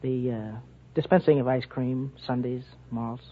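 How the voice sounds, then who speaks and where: A man's voice: mid-range voice, fairly even intonation, measured pace. A man in his twenties from Oklahoma, giving polite answers as a radio quiz contestant. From Oklahoma, USA. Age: 20s. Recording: dull old radio broadcast, faint noise.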